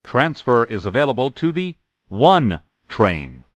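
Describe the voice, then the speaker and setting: A man reading scripted transfer announcements in a formal, measured way as a transit announcer. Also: mid-range voice, big pitch swings, dry recording.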